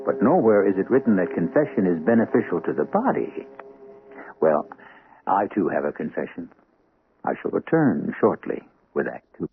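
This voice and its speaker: A man hosting and narrating a radio drama in a measured, theatrical way. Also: deep voice, sing-song. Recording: tinny old radio broadcast with faint music.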